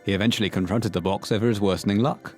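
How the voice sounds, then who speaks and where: Low-voiced man narrating a horror tale. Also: steady tone, rapid-fire, a little mellow, soft background music. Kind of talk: scripted horror story narration.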